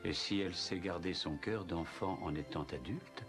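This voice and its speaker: A man's voice: sits low, moderate pace, over music. A man narrating a children's cartoon story in scripted storybook style. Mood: storytelling.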